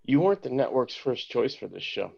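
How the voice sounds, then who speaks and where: A man's voice: medium pitch, very animated, brisk, gravelly, a little mellow, breathy edge, highs cut off, full character voice. A man reading an angry, bitter male showrunner in a table read, heated and profane.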